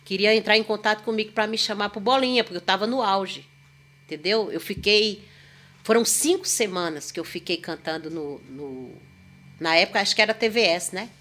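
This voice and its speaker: A woman's voice: mid-range voice, a little fast, a bit bright. A woman, a singer and podcast guest telling long, rambling stories in a chatty, self-deprecating way. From Northeast Brazil. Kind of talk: casual storytelling chat.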